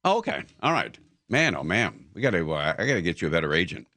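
Chatty man with bright, lively voice. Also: sits low, fast, dry recording, studio quality. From Southern California. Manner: rambling storyteller with many fillers and restarts. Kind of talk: casual conversation.

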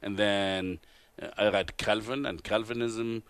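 A man from Tunisia with an Arabic accent, a radio guest telling his life story in an earnest, halting way with many pauses and restarts. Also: on the low side, fairly even intonation, a bit bright, clean tone, no room sound. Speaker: guest, TV host and author. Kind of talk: interview testimony. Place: convention broadcast booth.

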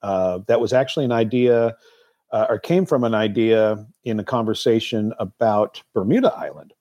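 Man talking casually, halting and thoughtful. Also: fairly low pitch, warm tone. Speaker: guest, film producer and actor. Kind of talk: casual talk-show interview.

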